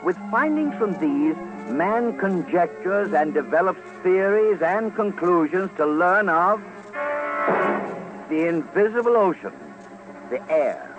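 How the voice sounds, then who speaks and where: A man delivers dramatic scripted documentary narration in a grand, theatrical, measured manner, like a commentator on a vintage radio broadcast. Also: sits high, expressive, lo-fi sound. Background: loud background music.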